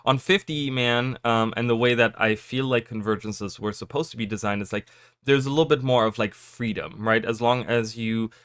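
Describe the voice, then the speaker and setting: A man talking casually to his viewers, rambling with lots of fillers and restarts, his voice a little raspy from just waking up. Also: medium pitch, normal speed, fairly bright tone, no room sound, studio quality. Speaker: gaming YouTuber. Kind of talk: casual chat to camera.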